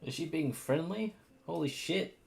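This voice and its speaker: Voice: mid-range voice, lively intonation, unhurried, creaky. A game streamer making casual, wry and blunt side comments on a noisy mic.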